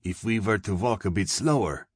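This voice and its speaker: A man voicing a plump, soft-spoken middle-aged German colonel with a German accent, courteous and probing. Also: on the low side, moderate pace, slightly rough, dry recording, clean studio sound. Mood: polite, winded.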